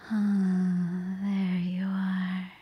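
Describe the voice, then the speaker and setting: Woman playing a breathy, flirtatious vampire. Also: fairly low pitch, monotone, dry recording, dull audio, heavily acted. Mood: seductive. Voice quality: breathy, half-whispered.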